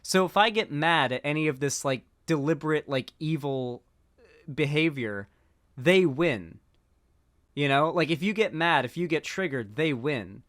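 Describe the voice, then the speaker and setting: Stoned rambling male commentator, smooth voice. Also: fairly high pitch, animated, measured pace, fairly bright tone, no echo, studio quality. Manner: rambling, full of fillers and restarts. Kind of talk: casual political commentary.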